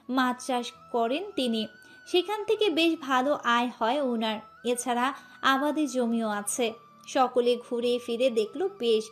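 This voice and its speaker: High voiced lady narrating an audio story, bit of music behind. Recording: music under the voice. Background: background music.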